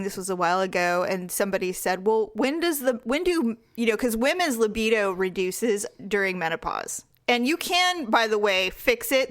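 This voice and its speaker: A woman in her forties co-hosting a podcast, chatting casually with her sister, blunt and frank and quick to laugh. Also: medium pitch, fairly bright tone. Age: 40s. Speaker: podcast co-host. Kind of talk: casual chat.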